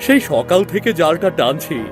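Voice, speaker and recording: Weary village fisherman dad played by a man, plaintive and devoted, lively intonation, music underneath. Children's cartoon. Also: a little high, normal speed. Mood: weary, dejected.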